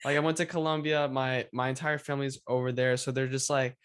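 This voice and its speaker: A young man from Venezuela hosting an interview in a relaxed, casual way, packing his speech with fillers. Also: medium pitch, fairly quick, clear, resonant tone. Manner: relaxed, filler-heavy with lots of "like". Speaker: host.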